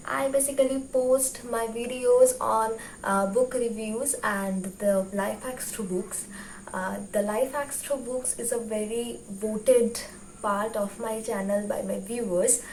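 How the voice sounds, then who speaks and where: A woman's voice: a little high, darkish tone. A young woman from West Bengal with a Bengali Indian accent, a native Bengali speaker, gives informal advice to viewers as a vlog host, often repeating and rephrasing herself. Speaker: YouTube host. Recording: roomy, distant mic with faint noise.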